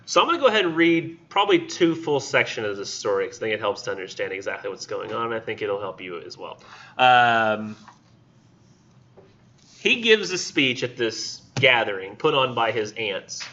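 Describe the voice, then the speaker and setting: Guy teaching lit class, bright forceful voice, chatty, kinda muffled recording. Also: fairly low pitch, expressive, normal speed, a little hiss or hum. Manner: casual and conversational, acts out characters in quotes. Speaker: college literature instructor. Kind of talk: classroom lecture retelling a story.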